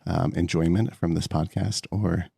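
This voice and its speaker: A man with a very deep, husky voice talks earnestly and a little hesitantly at a tabletop game. The sound is dry and close, with faint music underneath. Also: fairly even intonation, moderate pace, dark tone, no room sound. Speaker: player. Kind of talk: casual chat at the gaming table.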